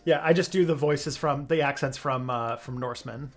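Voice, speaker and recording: A man's voice: mid-range voice, moderate pace. A man hosting a livestream in casual, joking chat full of fillers. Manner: chatty, filler-heavy, joking. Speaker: livestream host.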